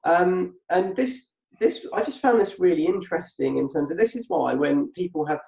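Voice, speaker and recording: A man's voice: sits high, expressive, moderate pace, gravelly, a little mellow. A man co-hosting a podcast, explaining thoughtfully and repeating words as he thinks aloud. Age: adult with a past business. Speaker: podcast co-host. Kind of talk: reflective conversational explanation. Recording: muffled echoey room.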